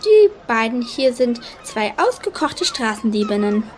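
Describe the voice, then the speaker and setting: A woman's voice: a little high, big pitch swings, a little slow, slight background noise. A woman playing a suspicious, authoritative policewoman in a children's audio drama. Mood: sceptical. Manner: stern, authoritative questioning.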